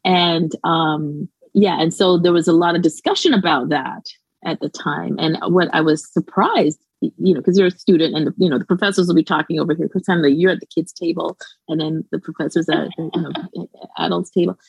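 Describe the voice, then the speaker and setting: A woman's voice: lowish voice, steady tone, brisk, gravelly, darkish tone, husky, dry recording, low-quality recording. A Canadian woman with a light Jamaican lilt, speaking as a guest scholar in a casual interview, rambling with many restarts and fillers and laughing often.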